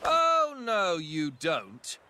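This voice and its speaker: An actor playing a gentle, polite and refined young prince in a game cutscene, with music behind him. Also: male voice, sits high, wildly swooping pitch, drawn-out words, heavily acted. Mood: friendly, teasing. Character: gentle, courteous young prince, thoughtful and friendly. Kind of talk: video game cutscene acting.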